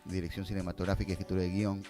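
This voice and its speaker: An Ecuadorian man speaks in a fairly low voice as a guest in an interview. His way of talking is informal and slangy, the talk of a filmmaker in conversation. Music is clearly audible behind him. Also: flat delivery, very fast.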